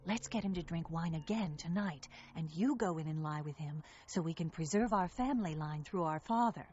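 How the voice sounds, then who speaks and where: A woman's voice: deep voice, moderate pace, darkish tone, muffled recording, a little hiss or hum, lightly acted. A woman playing a scheming, persuasive elder daughter in a Bible audio drama.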